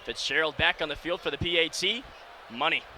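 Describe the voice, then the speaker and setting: A man in his early twenties calling live football play-by-play on radio with energetic, clipped phrasing. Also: a little high, brisk. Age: early 20s. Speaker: play-by-play announcer. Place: sports broadcast position inside a domed stadium. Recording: steady stadium noise behind. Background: stadium ambience.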